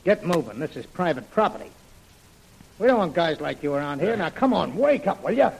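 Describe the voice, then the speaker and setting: An actor playing a bossy, impatient wharf hand with brusque, slangy talk in an old radio serial. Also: male voice, a little high, sing-song, brisk, vocal fry, low-quality recording. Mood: impatient, barking. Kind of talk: 1940s radio serial acting. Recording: old radio recording, faint noise.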